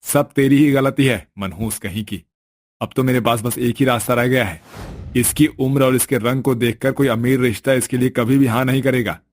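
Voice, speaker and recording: A man's voice: medium pitch, steady tone, moderate pace, dry recording, clean studio sound, lightly acted. A man playing a bitter, scornful elder brother in a family story, with a scolding, contemptuous manner. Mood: scornful, angry. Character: bitter, scornful elder brother, doting only on favoured sister.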